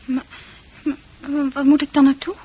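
A woman's voice: high-pitched, little pitch movement, moderate pace, dark tone, dull audio, lightly acted. A woman playing a bewildered young psychiatric patient in a radio drama, anguished and stammering as she repeats herself. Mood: anguished, pleading.

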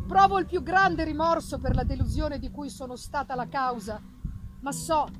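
A woman voicing a tormented, feverish young man in a dramatic, exclamatory reading of a gothic novel. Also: very high-pitched. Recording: noisy live festival recording.

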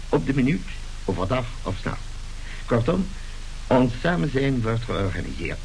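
A man's voice: on the low side, low-quality recording. An actor playing a pompous, newly rich tycoon and father in a radio play, curt and businesslike. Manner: pompous, businesslike, clipped dictation. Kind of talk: radio drama acting. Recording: hissy old radio drama recording.

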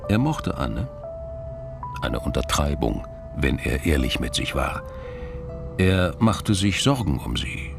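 A man narrating a scripted crime drama in a gravelly voice, measured and understated. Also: extremely low pitch, very animated, over music.

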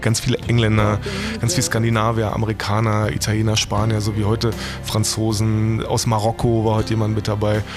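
A man's voice: fairly low pitch, monotone, a little fast. A man chatting casually in an interview. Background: music.

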